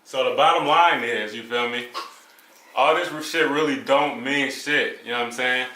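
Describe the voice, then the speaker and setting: A young man talks loosely in a casual discussion, peppering his points with filler phrases. His tone is bright and forceful at a medium pitch. The sound carries a roomy echo. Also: normal speed. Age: young adult. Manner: laid-back, frequent "you know what I'm saying" fillers.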